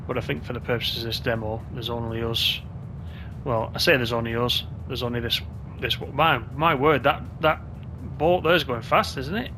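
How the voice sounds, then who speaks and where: A man's voice: mid-range voice, normal speed, low-quality recording. Man chatting through gameplay over music. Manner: chatty, thinking aloud. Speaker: gaming video host. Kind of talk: casual gameplay commentary.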